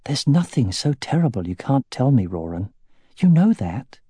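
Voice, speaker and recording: A man voicing a young wife who comforts her husband gently but firmly. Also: medium pitch, big pitch swings, moderate pace, breathy edge, dry recording, voice pushed up. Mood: gentle. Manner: gentle, reassuring.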